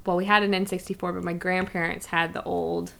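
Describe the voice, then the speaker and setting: A woman's voice: fairly low pitch, flat delivery, a little fast, gravelly, fairly bright tone. A young adult woman co-hosting a podcast in casual, conversational chat. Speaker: podcast co-host.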